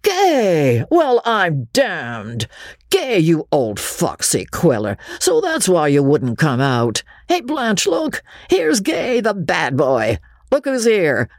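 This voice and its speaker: A performer voicing a rowdy, drunken male party teaser who jeers and shouts boisterously in an audiobook. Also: mid-range voice, huge pitch swings, takes it slowly, dry recording, clean studio sound, voice pushed up, exaggerated voice.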